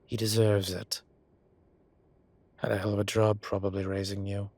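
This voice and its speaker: A man voicing an old, fatherly, whimsical boss, fond and gently insistent, with theatrical old-fashioned phrasing in an audio roleplay drama. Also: lowish voice, little pitch movement, normal speed. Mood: firm, fatherly.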